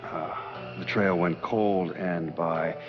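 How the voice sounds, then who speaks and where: A man playing a dogged, bitter older federal agent, giving dry, deliberate interview testimony in a video game drama. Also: deep voice, steady tone. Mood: matter-of-fact, bitter. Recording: dull lo-fi sound with clear music behind.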